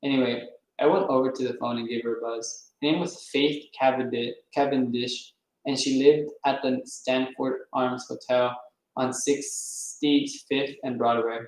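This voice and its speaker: A man's voice: mid-range voice, steady tone, a little slow, a little mellow. A man reading a novel aloud, now and then stumbling over words and correcting himself. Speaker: reader. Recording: roomy echo.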